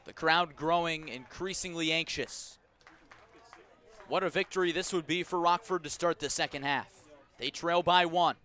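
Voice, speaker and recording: A man calling a baseball game live on radio as play-by-play announcer, measured between pitches and emphatic when the action comes. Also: sharp tone. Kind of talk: live sports radio commentary.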